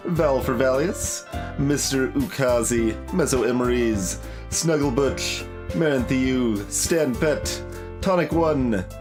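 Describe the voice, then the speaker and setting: A man hosting a game playthrough, talking casually and off the cuff in a halting, hesitant way. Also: fairly low pitch. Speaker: host of a game playthrough, streamer. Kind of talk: casual unscripted gameplay commentary. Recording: music behind the voice. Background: guitar music.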